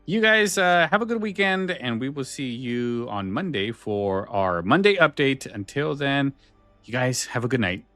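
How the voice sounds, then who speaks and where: A man hosting a podcast in casual chat, halting a little and correcting himself as he goes. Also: medium pitch, expressive, sharp tone, dry recording, soft background music. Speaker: podcast host. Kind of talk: casual chat and show sign-off.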